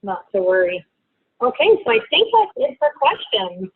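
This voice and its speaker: A woman's voice: on the high side, wildly swooping pitch, normal speed, breathy edge, no echo. A woman from Ontario hosting a travel webinar, chatty and reassuring on a video call. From Ontario, Canada. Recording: tinny phone-like call audio.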